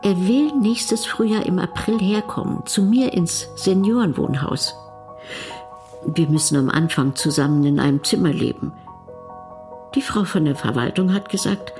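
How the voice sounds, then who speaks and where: An actress playing an elderly, caring wife who tells her story in a plain, conversational radio drama monologue. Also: fairly low pitch. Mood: matter-of-fact. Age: older. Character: elderly devoted wife, caring, worried, quietly amused.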